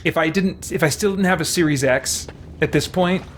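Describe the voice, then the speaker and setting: A man's voice: a little high, normal speed, slight background noise. A man chatting casually on a game livestream, thinking aloud as he plays. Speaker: game streamer, host. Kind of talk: casual livestream chat while playing a video game. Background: video game sounds and character voices.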